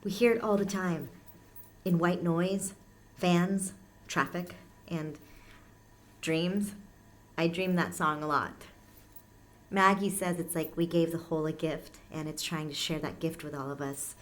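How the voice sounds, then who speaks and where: An actress playing a casual, guarded tenant in a naturalistic scripted podcast drama, speaking tersely and matter-of-factly. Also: female voice, fairly low pitch, fairly even intonation, a little slow, pauses often, natural voice. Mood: earnest, haunted. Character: casual, guarded woman tenant devoted to a mysterious hole.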